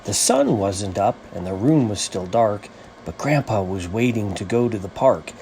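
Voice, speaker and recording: A man reading a rhyming children's story as its author, with an animated, sing-song rhythmic delivery. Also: lowish voice, normal speed, a little hiss or hum.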